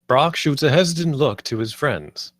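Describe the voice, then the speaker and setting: A man's voice: medium pitch, expressive, moderate pace, fairly bright tone, dry recording, clean studio sound. A man reading stage directions as the narrator at a screenplay table read.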